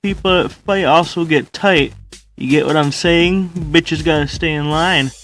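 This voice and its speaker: A man's voice: fairly high pitch, measured pace, a bit bright, faint music behind. A man voicing a goofy, boastful stoner echidna in an amateur comic dub, rambling in slang. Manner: rambling, slangy, says lol aloud.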